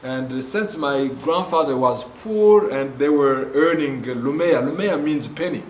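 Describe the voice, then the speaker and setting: A man from Congo with a Congolese accent, telling his ministry's story in a halting way with many pauses and fillers. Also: fairly high pitch, roomy sound, a little hiss or hum. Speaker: ministry founder. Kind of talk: earnest spoken testimony.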